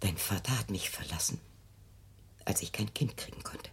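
An actress playing a bitter, defensive middle-aged mother in a German radio play, speaking intensely in a husky, breathy voice. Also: extremely low pitch, normal speed, whispered, heavily acted. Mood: bitter, hushed.

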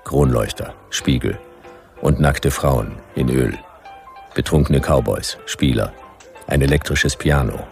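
Very deep gravelly hardboiled detective. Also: male voice, takes it slowly, faint music behind, full character voice. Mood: dry, deadpan. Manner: dry, deadpan. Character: hardboiled laconic male detective narrating his Western ordeal. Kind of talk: radio play first-person noir narration.

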